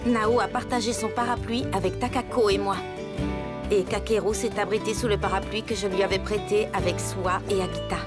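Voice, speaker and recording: A woman playing a lively, teasing high-school girl in a French anime dub, expressive and quick to exclaim or bicker. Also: natural voice.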